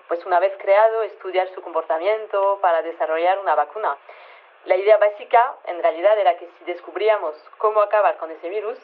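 An actress playing a matter-of-fact, slightly flustered female lab chief, hesitant and rambling, interviewed over a telephone line. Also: medium pitch. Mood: explaining. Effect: telephone filter. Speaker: scientist interviewed by phone. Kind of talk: conversational radio phone interview. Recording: tinny narrow phone line.

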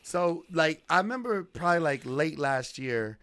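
A man's voice: on the high side, animated, normal speed, a bit bright, clear, resonant tone, dry recording. Male radio host, American accent, clean resonant voice, laid-back slangy interviewer, dry recording.

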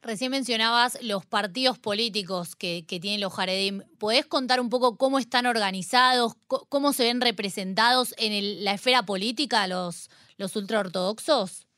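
Bright-voiced woman interviewer, dry sound. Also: medium pitch, normal speed, few pauses, no echo. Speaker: radio interviewer. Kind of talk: conversational interview questions.

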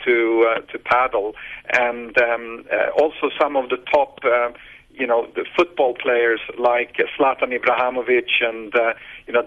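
A Swedish-accented man talks on a radio show, his voice sitting at an ordinary middle pitch. He pauses a lot and restarts his phrases. The audio comes through dull and muffled, like a phone line. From Sweden. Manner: hesitant, many fillers and restarts. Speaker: guest, sports businessman. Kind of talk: radio interview answers.

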